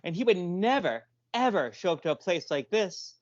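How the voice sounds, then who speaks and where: A woman's voice: fairly low pitch, big pitch swings, moderate pace, no echo. A woman acting out a chatty, bitter wife who runs a video store, in a comic audio play. She rambles, repeats herself and curses freely. The sound is close, dry and a little dull. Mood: bitter, gossipy. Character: chatty, bitter married female video store owner and wrestling boss.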